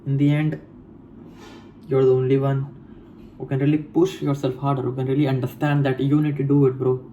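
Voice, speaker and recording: A man's voice: mid-range voice, little pitch movement, drawn-out words, dark tone, slight background noise, poor audio. A man in his late teens talking casually in a reflective monologue, mixing Hindi and English, repeating phrases and calling the listener bro. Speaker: vlogger. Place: home room.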